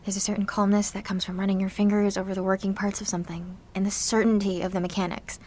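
A woman plays a seventeen-year-old girl with superpowers in an audio drama. She speaks at a middling pitch, sardonic and theatrical, breaking off to correct herself. She comes across as both defiant and anxious as she confesses her side of things. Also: little pitch movement, fast, darkish tone, slight background noise, lightly acted. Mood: anxious, confiding. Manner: sardonic, sweary, theatrical, self-interrupting. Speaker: narrator and lead character.

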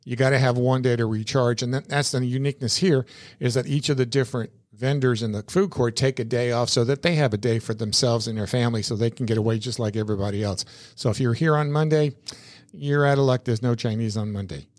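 A man's voice: medium pitch, steady tone, fast talker, a little mellow, no room sound, studio quality. Chatty man hosting a local podcast. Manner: chatty, folksy, repeats words while thinking. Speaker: podcast host. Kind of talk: local business interview. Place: podcast studio inside a shopping mall.

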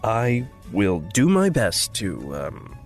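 A man playing an earnest, awkward, supportive magic user in audio fiction, with a hesitant, gentle manner. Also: fairly low pitch, wildly swooping pitch, slow pace, full character voice. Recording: music under the voice. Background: music.

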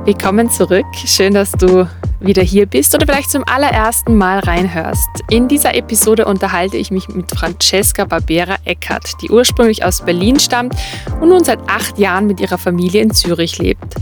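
A woman's voice: mid-range voice, normal speed. Female podcast host, mid-range voice, faint music underneath, introductions and interview questions.

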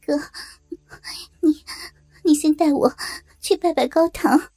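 A woman as a high-voiced, pleading young village wife. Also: slow pace, dark tone, soft background music. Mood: nervous. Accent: Northeastern Chinese. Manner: hesitant, pleading. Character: frightened, pleading young rural wife, earthy and naive. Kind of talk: audio drama dialogue.

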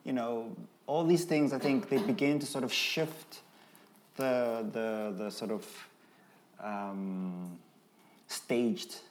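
A man's voice: mid-range voice, fairly even intonation, slow, a little hiss or hum. A man on a discussion panel, reasoning aloud with frequent ums and restarts. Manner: hesitant, many ums and restarts while reasoning.

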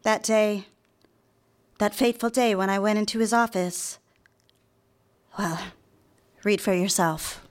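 An actress playing an earnest, polite young career-minded secretary in a live radio drama. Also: female voice, mid-range voice, fairly even intonation, unhurried, long pauses, slight background noise, close to an everyday voice. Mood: reflective. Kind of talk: live radio drama acting with some narration.

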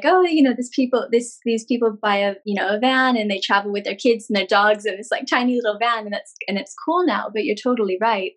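Female guest, 30s, from California, relaxed and talkative. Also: medium pitch. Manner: chatty, rambling with many fillers and laughs. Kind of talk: casual interview chat.

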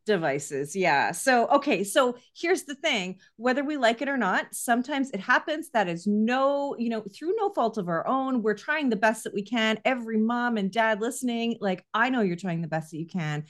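A woman hosts a casual podcast conversation. She speaks emphatically, with lively swings and a joking edge. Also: fairly high pitch, moderate pace, few pauses. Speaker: podcast host. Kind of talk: casual interview chat.

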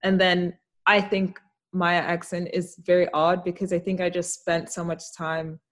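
A woman's voice: on the low side, little pitch movement, moderate pace. A young adult woman hosting a podcast, talking in a reflective, thoughtful way and laughing easily. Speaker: podcast host. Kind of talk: casual conversational interview.

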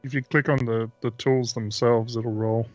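A man's voice: mid-range voice, level tone, normal speed, muffled recording, faint music behind. A man playing a tabletop game, chatting casually with the group. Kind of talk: casual chat.

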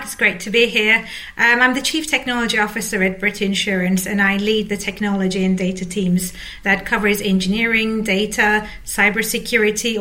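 A woman's voice: medium pitch, sharp tone. Woman in her forties, interview guest. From Turkey. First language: Turkish. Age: 40s. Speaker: interview guest, technology executive. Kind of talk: conversational interview about career.

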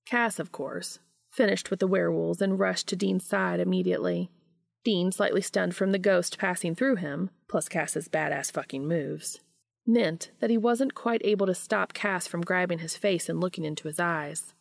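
A woman hosting a podcast and reading fan fiction aloud in an expressive audiobook style. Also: on the low side, normal speed, vocal fry, no room sound, studio quality.

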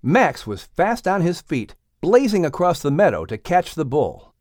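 A man narrating a children's animal story as an audiobook reader, in an expressive scripted style. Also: fairly high pitch, unhurried, dry recording, clean studio sound.

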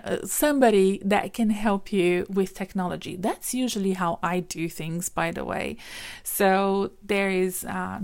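A woman career coach giving direct, practical advice in a casual monologue, with a voice she calls squeaky. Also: vocal fry, darkish tone. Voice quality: says she has a squeaky voice.

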